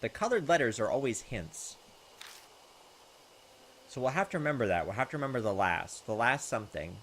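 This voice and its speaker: A man's voice: mid-range voice, lively intonation, fairly quick, a bit bright, slight background noise. A young man reading a visual novel aloud, mixing dramatic narration with casual, informal commentary. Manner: reads in-game text dramatically, comments informally. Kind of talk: game narration with casual asides. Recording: music behind the voice. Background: video game music.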